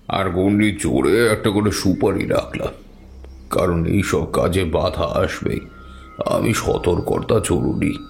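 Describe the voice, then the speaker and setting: A man's voice: sits low, gravelly. A man narrating a scripted tale dramatically in the first person as a storyteller. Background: music.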